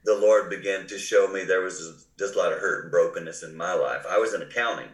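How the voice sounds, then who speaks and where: A man's voice: deep voice, fairly quick, a bit bright, reverberant, low-quality recording. A man in his sixties with a Carolina accent, a pastor and guest, talking earnestly while he has a cold. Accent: Southern US, Carolinas. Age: 60s. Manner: earnest, reflective storytelling. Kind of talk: casual interview talk.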